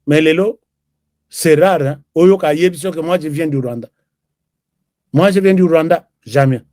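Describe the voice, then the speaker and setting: A man's voice: fairly high pitch, normal speed, dark tone, no room sound, clean studio sound. Chatty man hosting a show. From DR Congo. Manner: chatty, switches between French and Lingala, reads viewer comments. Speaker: host. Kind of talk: live political commentary show.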